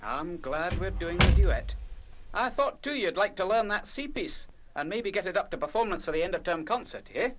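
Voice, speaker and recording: An actor playing a kindly, encouraging Scottish music teacher in a children's radio play, gentle and coaxing. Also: male voice, sits high, expressive, moderate pace, very noisy. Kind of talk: full-cast children's radio play acting.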